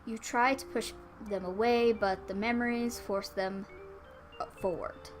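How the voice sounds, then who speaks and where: A woman's voice: mid-range voice, fairly even intonation, unhurried, faint music behind. A woman reading a visual novel aloud as a let's play host, dropping in casual asides. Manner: informal asides between read passages.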